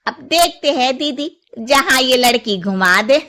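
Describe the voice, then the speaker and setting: A woman's voice: high-pitched, animated, a little slow, bright, forceful tone, pitched above the performer's own voice, heavily acted. A woman voicing a cheerful, contented middle-aged mother-in-law in a cartoon story, chatty and affectionate. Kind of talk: acted cartoon story dialogue.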